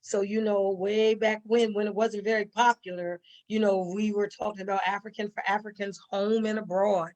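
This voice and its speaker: A woman's voice: medium pitch, little pitch movement, moderate pace, few pauses, darkish tone, lo-fi sound. An older woman chatting as an interview guest, telling stories in a folksy, rambling way and repeating phrases for emphasis. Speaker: interview guest, stage actor. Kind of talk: casual storytelling chat.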